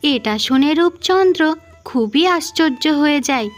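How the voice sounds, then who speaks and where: A woman with a high voice narrates a fairy tale. The delivery is scripted storytelling for a cartoon, with faint music playing underneath. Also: lively intonation, measured pace. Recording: music behind the voice.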